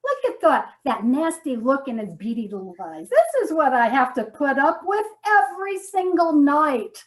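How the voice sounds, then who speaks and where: A woman's voice: high voice, very animated, moderate pace. A woman playing a nagging, self-righteous wife in a comedy sketch, dramatic and exasperated, often repeating herself. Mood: indignant. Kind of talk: readers theater comedy sketch.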